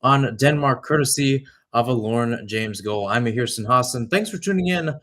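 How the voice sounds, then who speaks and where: A man's voice: medium pitch, normal speed, a bit bright. A young adult man giving radio-style sports play-by-play commentary with emphatic announcer delivery.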